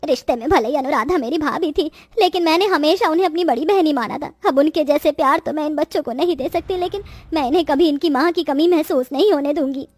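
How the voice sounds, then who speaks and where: A devoted young aunt in an animated story, voiced by a woman. Her high voice is kind but firm. The recording is dry and close. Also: fast, few pauses, no echo, close to an everyday voice. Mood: caring. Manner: caring, firm.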